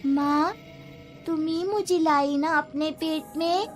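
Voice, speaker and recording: A woman voicing an eerie, needy ghost child, a burned little girl who pleads in slow, drawn-out words in a horror story. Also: high-pitched, faint music behind, voice pushed up. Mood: pleading, eerie.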